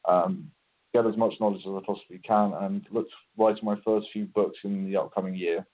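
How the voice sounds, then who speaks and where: Male guest, low voice, brisk and matter-of-fact, phone-quality sound. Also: fairly even intonation. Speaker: podcast guest. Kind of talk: casual podcast chat. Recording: tinny phone-like call audio.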